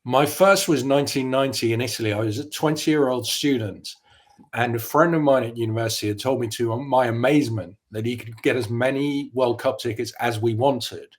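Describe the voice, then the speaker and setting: Journalist guy in his 50s, grew up in the netherlands, sharp opinions, thoughtful, normal pitch. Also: brisk. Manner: articulate, opinionated, reflective. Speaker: journalist guest. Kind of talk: conversational interview.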